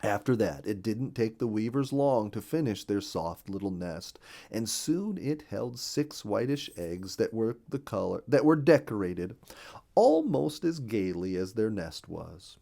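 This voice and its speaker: Expressive, mellow-voiced male storyteller. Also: lowish voice, a little slow, creaky. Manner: gentle, expressive reading with a lot of rise and fall. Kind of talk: scripted storybook narration for children.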